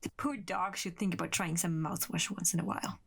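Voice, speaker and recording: A woman's voice: fairly low pitch, fairly even intonation, a little fast, vocal fry, slight background noise. An actress playing a volatile, obsessive young woman in a radio drama, with a dramatic, defensive, sardonic way of talking. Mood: bitter, brooding.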